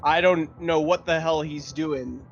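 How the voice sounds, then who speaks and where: A man's voice: a little high, steady tone, a little slow, slight background noise. A man talking casually in character as a player in a tabletop roleplaying game. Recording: dull lo-fi sound, music underneath.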